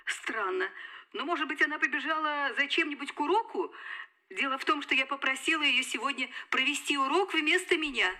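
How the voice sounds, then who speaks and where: An actress playing a kindly female schoolteacher in a children's show, polite and gently instructive. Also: high-pitched, brisk. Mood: friendly. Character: kindly adult female schoolteacher calling from abroad.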